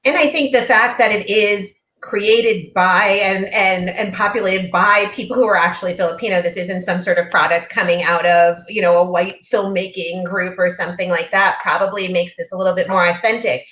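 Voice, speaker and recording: A woman's voice: mid-range voice, steady tone, moderate pace, hardly any pauses, a bit bright. Casual woman hosting with echoey sound. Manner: casual, with filler "um"s. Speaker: host. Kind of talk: live panel discussion.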